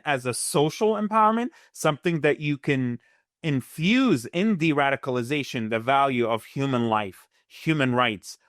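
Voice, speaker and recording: A man's voice: mid-range voice, a bit bright, no echo, studio quality. A man from Gaza whose first language is Arabic, speaking earnestly as a guest analyst in an interview, often repeating words as he starts a sentence.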